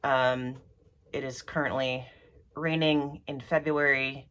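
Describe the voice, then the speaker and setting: Low-voiced woman vlogger, stuffy and throaty from allergies, loose rambling delivery. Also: fairly even intonation, slow, fairly bright tone. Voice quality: throaty, froggy. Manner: rambly, many ums and restarts. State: congested from allergies. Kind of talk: rambling casual vlog.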